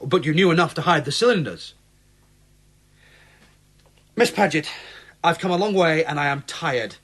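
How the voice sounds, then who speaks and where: A weary, probing police inspector. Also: male voice, on the high side, animated, a bit bright, husky. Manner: measured, insistent, dry. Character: tired, persistent male police inspector, calm and probing. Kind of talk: radio drama acting.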